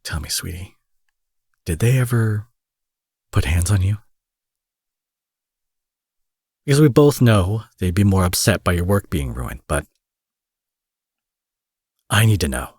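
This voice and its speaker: A rough-edged, husky male supervillain, acted by a man for an intimate audio roleplay, recorded close and dry. He is menacing yet fiercely protective, emphatic and sweary, with a coaxing, tender streak. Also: deep voice, expressive, a little slow, long pauses, darkish tone, no echo, full character voice. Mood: tender, concerned.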